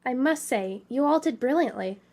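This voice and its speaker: Woman playing a high-voiced, polite young guide. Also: lively intonation, moderate pace, creaky, very noisy. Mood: encouraging. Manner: polite, formal phrasing. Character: gifted, encouraging young woman guiding child test-takers. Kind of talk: children's audio drama acting.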